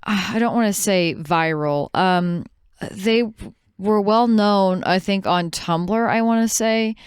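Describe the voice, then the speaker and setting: A woman with a very smooth, clean voice in casual podcast conversation. She tells stories in an animated way, slipping into quoted remarks, and the sound is close and dry. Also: medium pitch, slow, no room sound. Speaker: podcast co-host.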